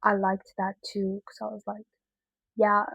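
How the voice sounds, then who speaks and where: A woman giving a casual, chatty video review in an expressive, slangy way with emphatic repetition. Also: medium pitch, a little slow, slightly rough, no room sound.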